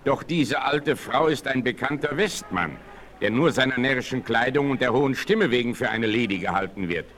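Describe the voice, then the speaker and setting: Actor as a forceful, commanding frontier hunter. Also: male voice, medium pitch, expressive, moderate pace, natural voice. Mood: calm, respectful. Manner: firm, authoritative. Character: bold, commanding, principled frontier hunter Old Firehand. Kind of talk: 1960s German radio play acting. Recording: vintage vinyl radio play, faint noise.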